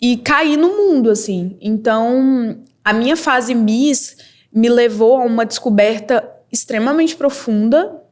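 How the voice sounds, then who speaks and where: A young woman from Brazil, a model and entrepreneur, telling her story as a podcast guest in a candid, lively way with quick bursts and laughs. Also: fairly high pitch. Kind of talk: casual interview storytelling.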